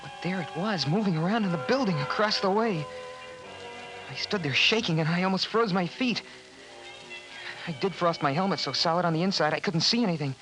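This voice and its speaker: A male actor playing an earnest, excitable boy in a 1950s post-apocalyptic radio drama. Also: high-pitched, a little fast, low-quality recording. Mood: reflective, narrating. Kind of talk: 1950s radio drama acting, with first-person narration. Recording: vintage 1950s radio recording, music behind.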